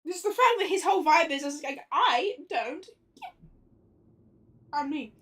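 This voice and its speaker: High-voiced, animated woman game streamer. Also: measured pace. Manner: exclamatory, repeats phrases, swears. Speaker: livestreamer. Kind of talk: excited casual game livestream commentary.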